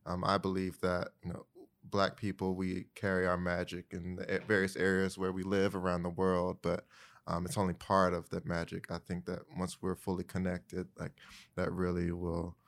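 An American man from North Carolina speaks as a podcast guest. His voice is deep and stays very even in pitch, and he talks in a thoughtful, conversational way. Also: moderate pace, creaky. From Greensboro, North Carolina, USA.

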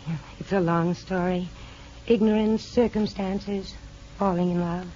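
An actress in a 1950s radio drama playing an earnest, hesitant young hospital aide from a farm. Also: female voice, fairly low pitch, steady tone, mellow, lightly acted. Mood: guarded, earnest. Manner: hesitant, halting, restarts phrases. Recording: muffled, noisy old radio broadcast.

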